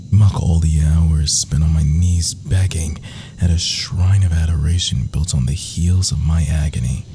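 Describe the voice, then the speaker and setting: A man playing a slow, deep, whispering villain lover. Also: vocal fry, warm tone. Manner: florid, dramatic, intimate, often whispering. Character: adoring, menacing, theatrical dark villain lover. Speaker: ASMR roleplay performer. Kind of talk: whispered ASMR villain roleplay acting.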